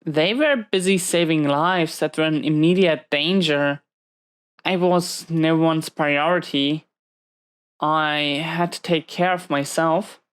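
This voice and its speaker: A woman playing a battle-scarred prison inmate villain in an intimate audio roleplay, confiding in a halting, reflective, weary way. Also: low-pitched, clear, resonant tone. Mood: pained recollection. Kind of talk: slow intimate audio roleplay monologue to a listener.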